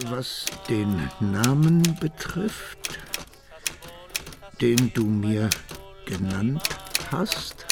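An actor playing a pious old Sicilian mafia boss in hiding, dictating letters slowly and formally in a radio crime drama. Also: male voice, mid-range voice, lively intonation, gravelly, noisy background, full character voice. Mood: solemn, halting. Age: older. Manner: slow, formal, halting dictation. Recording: music behind, typewriter clacking.

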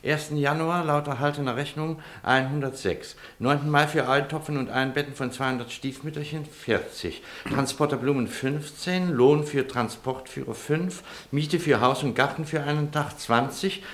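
A man's voice: on the high side, moderate pace, few pauses, a bit bright, natural voice. Man playing an earnest, impatient amateur detective. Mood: deliberate reading. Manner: insistent, matter-of-fact, emphatic. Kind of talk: German radio play acting.

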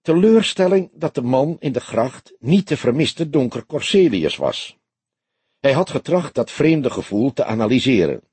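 A man's voice: medium pitch, very animated, normal speed, a little mellow, slightly rough, no echo. A man reading a detective novel aloud as an audiobook narrator, in scripted storytelling style.

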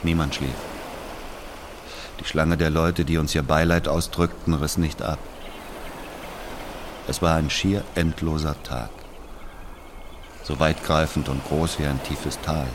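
Actor as very deep-voiced brooding storyteller. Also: male voice, steady tone, takes it slowly, long pauses, background noise. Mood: somber. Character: brooding Algerian man recalling his murdered brother. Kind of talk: literary dramatic monologue.

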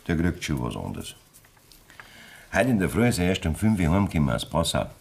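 An actor playing a boastful, vindictive middle-aged Bavarian farmer in a radio drama, speaking coarse, earthy dialect. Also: male voice, low-pitched, very animated, brisk, gravelly, a little mellow, husky, exaggerated voice. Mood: gruff, boastful.

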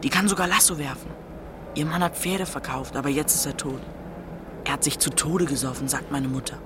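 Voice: medium pitch, fairly even intonation, fairly quick, background noise, close to an everyday voice. A performer playing a guarded, literal-minded boy of thirteen in a German radio drama, matter-of-fact and questioning. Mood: wary, puzzled.

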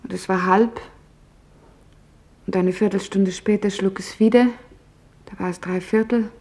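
An actress playing an office worker recalling wartime nights, in deliberate, hushed storytelling with dramatic pauses. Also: female voice, medium pitch, steady tone, close to an everyday voice. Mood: tense, haunted. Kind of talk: dramatised testimony in a radio feature.

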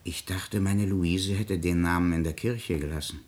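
A man's voice: low voice, fairly even intonation, normal speed, a little mellow, lightly acted. An actor playing a blunt, hot-tempered but loving older musician father in a classic radio drama, with an earthy, exclamatory delivery. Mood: tender, grave. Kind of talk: classic German stage drama radio acting.

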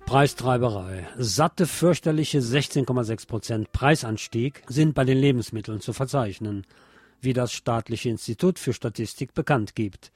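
A man's voice: mid-range voice, normal speed, a little mellow, breathy edge, no echo. A man presenting radio news with sardonic, opinionated commentary. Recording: music bed under the voice. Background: music.

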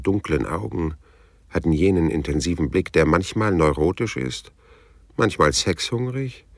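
An actor playing a sardonic, world-weary private detective in a radio crime drama, speaking in a dry, laconic deadpan. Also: male voice, very deep voice, gravelly, full character voice. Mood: wry narrating. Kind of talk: radio crime drama acting and first-person narration.

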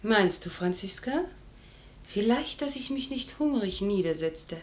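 A woman's voice: medium pitch, animated, natural voice. Woman as poised, gracious young noblewoman. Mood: pensive, scheming. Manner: refined, measured stage diction. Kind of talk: 1950s German radio drama acting.

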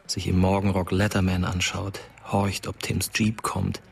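An actor as the sober storyteller of a German crime radio drama, giving scripted third-person narration. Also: male voice, sits low, completely flat delivery, unhurried, vocal fry.

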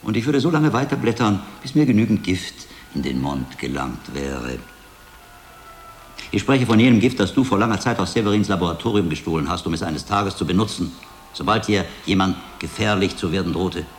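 An actor playing a shrewd, learned monk investigator in a German radio drama, speaking in a deliberate, insistent, articulate way. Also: male voice, deep voice, lively intonation, faint music behind. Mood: knowing, triumphant. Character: shrewd, learned middle-aged monk investigator, William of Baskerville. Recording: long hall reverb, background noise.

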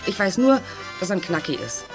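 A woman's voice: fairly low pitch, big pitch swings, brisk. A woman playing a talkative, placating informant in a radio play. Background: music.

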